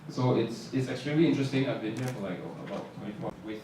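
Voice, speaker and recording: A man, an investor on a panel, introducing himself in a relaxed, joking way with pauses. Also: mid-range voice. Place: conference hall. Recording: echoey hall from far off, noisy.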